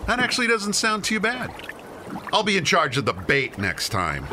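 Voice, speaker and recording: A man's voice: high-pitched, huge pitch swings, normal speed. A man playing a grumpy, sarcastic amateur fisherman bickering in a comic radio play. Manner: sardonic, bickering. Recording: clear boat and water noise.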